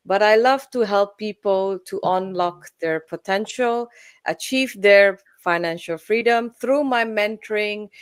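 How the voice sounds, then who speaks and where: Smooth-voiced woman from Fiji. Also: mid-range voice, dry recording. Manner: polite, measured. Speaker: panel guest. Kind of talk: casual self-introduction.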